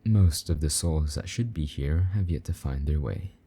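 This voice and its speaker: A man playing a possessive but tender, lonely demon lover in an intimate romantic audio roleplay, speaking softly and coaxingly in a husky voice. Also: exaggerated voice. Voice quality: very deep, dark, husky. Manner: soft, slow-burning, gently coaxing endearments.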